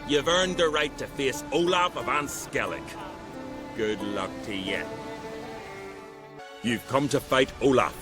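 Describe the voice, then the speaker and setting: An actor playing a proud island arena master in a video game, speaking ceremonially in archaic turns of phrase. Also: male voice, mid-range voice, takes it slowly, long pauses, lightly acted. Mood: solemn, proud. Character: proud island arena master, solemn keeper of fighting tradition. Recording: music behind the voice. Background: game music.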